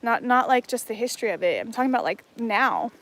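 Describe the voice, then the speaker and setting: Chatty woman, fairly high voice. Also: big pitch swings, fast talker, fairly bright tone. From Hawaii. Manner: chatty, rambling, many "like" fillers and restarts. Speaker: livestream host reading chat. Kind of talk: casual livestream chat while walking. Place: outdoors on a jungle walk.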